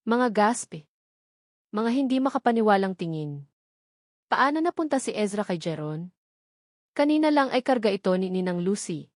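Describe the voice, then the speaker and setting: A woman's voice: medium pitch, darkish tone, no room sound, clean studio sound. A woman with a Filipino accent narrating a romance story in a dramatic, scripted audiobook style.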